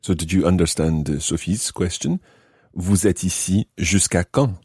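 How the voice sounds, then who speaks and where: A man's voice: low-pitched, measured pace, a little mellow, slightly rough, dry recording, clean studio sound. A man teaching a language lesson, explaining and translating phrase by phrase with careful enunciation. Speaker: language teacher, podcast host.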